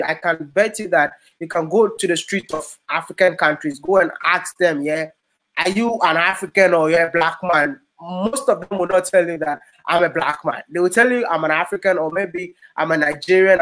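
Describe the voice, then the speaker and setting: A man's voice: on the high side, moderate pace, a bit bright. A young man from Ghana hosting a discussion, speaking earnestly and eager to add his point. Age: young adult. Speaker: host. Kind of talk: casual discussion.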